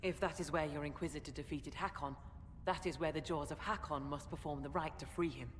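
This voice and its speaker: A woman's voice: low-pitched, fairly even intonation, fairly quick, lightly acted. Woman acting a stern warrior chieftain, echoing hall. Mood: resolute. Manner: terse, solemn, formal phrasing. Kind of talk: fantasy video game acting. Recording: echoey cavernous hall, loud ambient noise.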